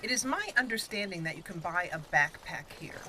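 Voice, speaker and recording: A woman's voice: fairly low pitch, animated, fairly quick, noisy background. A woman hosting her gaming channel, chatting casually and thinking aloud as she plays. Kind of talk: casual let's-play commentary. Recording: voice-over over loud game music. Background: game music.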